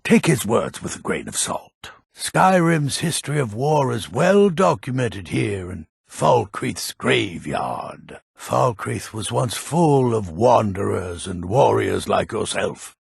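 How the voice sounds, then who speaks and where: An actor playing a grumpy, suspicious old man in a fantasy video game, with a gravelly, husky voice and a grumbling, wary manner. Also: fairly low pitch, sing-song, takes it slowly, hardly any pauses, no room sound, studio quality, full character voice. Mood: bitter, wary. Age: older.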